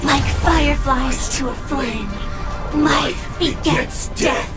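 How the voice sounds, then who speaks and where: A defiant young warrior in a game. She declaims battle cries slowly in a very high, fierce voice, with loud music behind her. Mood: fierce resolve. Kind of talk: video game voice acting.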